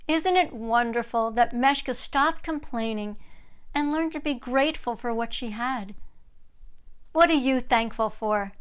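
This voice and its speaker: A woman's voice: high voice, clean tone. A woman reading a children's story aloud as host, expressively, and addressing young listeners directly.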